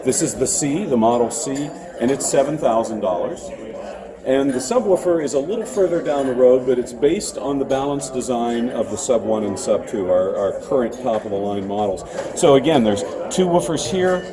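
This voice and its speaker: A man's voice: medium pitch, expressive, moderate pace. A man representing a company gives an unscripted, technical product demonstration, often hesitating as he explains. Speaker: loudspeaker company representative presenting a product line. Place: indoor trade show floor. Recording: busy crowd noise around the voice. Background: crowd chatter.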